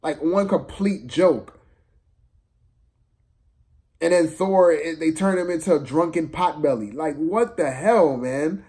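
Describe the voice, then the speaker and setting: Slow-paced, higher-voiced man ranting casually. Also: warm tone. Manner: exasperated, slangy, repeats himself for emphasis. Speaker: film reviewer. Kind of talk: casual unscripted movie review rant.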